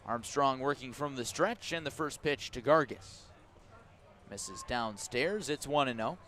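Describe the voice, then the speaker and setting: An American man works as a baseball play-by-play broadcaster on radio. His mid-pitched voice is conversational, switching between clipped calls of the action and storytelling with wry asides. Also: unhurried, fairly bright tone. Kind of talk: live radio sports commentary mixed with storytelling.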